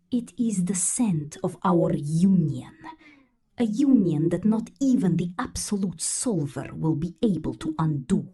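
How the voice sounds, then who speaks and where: A woman's voice: on the low side, warm tone, heavily acted. A woman voicing a possessive, menacing female robot drone in a whispery, intimate ASMR roleplay. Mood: possessive, tender menace. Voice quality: breathy, whispery.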